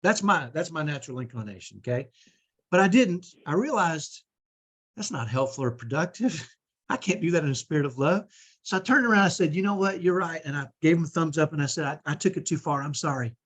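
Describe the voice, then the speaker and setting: A middle-aged man with a strong, powerful voice, coaching parents in an energetic, emphatic way and often checking in with "right?". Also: fairly high pitch, brisk, slightly rough. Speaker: host.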